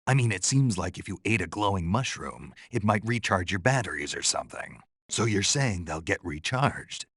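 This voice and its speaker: A man playing a gruff, raspy special forces soldier in a video game, stoic and dryly naive, with a terse, deadpan delivery. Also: low voice, dry recording, studio quality, heavily acted.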